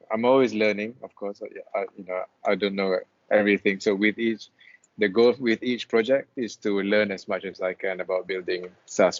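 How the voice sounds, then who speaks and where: Young male guest from Malaysia, low register, relaxed and halting, on a muffled video call. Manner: relaxed, hesitant with many restarts. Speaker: guest, freelance web developer. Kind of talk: casual interview chat. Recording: dull lo-fi call audio.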